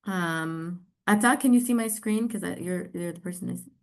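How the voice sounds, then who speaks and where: A middle-aged woman with an American accent and a slightly low voice. She presents to an online group in a halting way, pausing often and stretching out words as she explains. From New York, United States. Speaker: social enterprise representative presenting.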